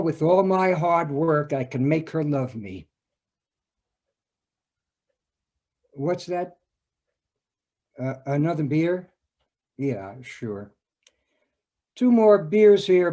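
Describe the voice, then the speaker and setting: An actor playing an earnest, lovesick man confiding over beers, with halting, emphatic delivery in a readers theater monologue. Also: fairly high pitch, mellow, heavily acted. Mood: hopeful, stubborn.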